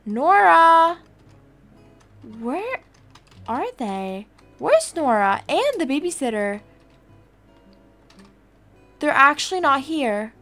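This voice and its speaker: A woman's voice: sits high, very animated, slow pace, pauses often, fairly bright tone, soft background music, voice pushed up, exaggerated voice. A woman playing a casual, easily alarmed adoptive mother in a video game roleplay, chattily thinking aloud. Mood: puzzled, alarmed.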